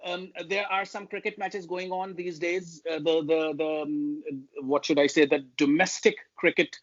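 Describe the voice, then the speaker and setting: High-voiced Pakistani man, measured. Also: little pitch movement, lo-fi sound. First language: Urdu. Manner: measured, emphatic, lists points. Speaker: guest linguist. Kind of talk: interview talk on public speaking.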